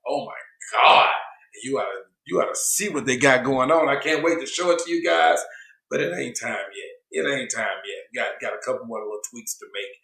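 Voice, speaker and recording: A man's voice: medium pitch, fairly quick, creaky, pushed and bright, roomy sound, poor audio. A man hosting a casual online talk show in a laid-back, slangy way, saying he is losing his voice. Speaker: show host.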